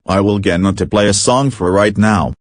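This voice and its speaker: A man's voice: fairly low pitch, normal speed, no room sound, clean studio sound. A man speaking as a breaking-news anchor in a plain, matter-of-fact way.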